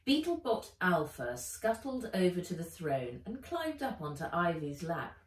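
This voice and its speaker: Slow, expressive woman storyteller in roomy space. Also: fairly low pitch. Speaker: author reading own book aloud. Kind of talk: expressive children's storybook narration. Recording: roomy echo, a little far from mic.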